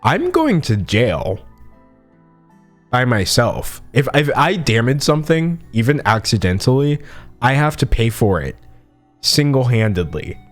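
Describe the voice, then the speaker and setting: A man in his early twenties giving casual comedic commentary as an online video host, self-mocking, with dramatic pauses and emphasis. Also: medium pitch, very animated, faint music behind.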